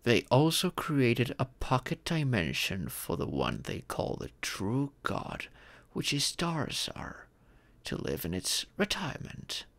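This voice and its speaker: A man playing a fatherly dragon mentor in an ASMR fantasy roleplay, patiently telling stories and teaching magic in a gentle, measured, instructive way. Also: medium pitch, huge pitch swings, heavily acted. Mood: warm storytelling. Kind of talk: ASMR audio roleplay, scripted fantasy storytelling.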